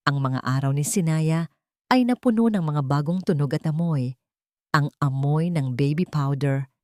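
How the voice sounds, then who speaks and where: A woman's voice: low voice, a little mellow, dry recording. A woman narrator delivers a scripted dramatic story. The recording is dry and close, with no room sound around her.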